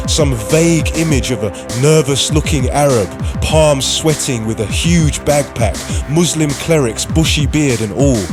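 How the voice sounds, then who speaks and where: A man giving deliberate, dramatic scripted narration for a radio play. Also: mid-range voice. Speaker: writer-performer, narrator. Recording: music under the voice. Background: music.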